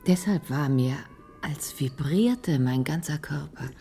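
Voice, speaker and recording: An idealistic researcher in a radio drama. Her voice is deep for a woman and soft-edged, earnest and insistent, with a stubborn streak. Slight noise sits on the recording. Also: expressive, slow, heavily acted. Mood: thoughtful, curious. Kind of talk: German radio play acting.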